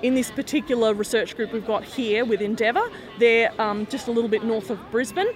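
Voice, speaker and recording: A woman engineer explaining her company's work clearly in an interview. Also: fairly high pitch, fairly quick. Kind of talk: casual interview. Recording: crowd noise behind. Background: crowd chatter.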